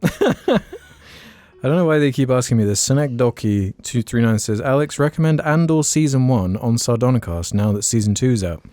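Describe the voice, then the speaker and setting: A man's voice: mid-range voice, lively intonation, moderate pace, dark tone, no echo. A man co-hosting a casual podcast, joking and laughing often while reading out listener questions. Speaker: podcast co-host. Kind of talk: casual chat.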